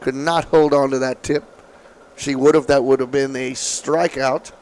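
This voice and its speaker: A man calling softball play-by-play, his voice slightly raspy from allergies, with calm, measured calls. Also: mid-range voice, rough recording. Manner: calm, measured calls, excited on big hits. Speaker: play-by-play announcer.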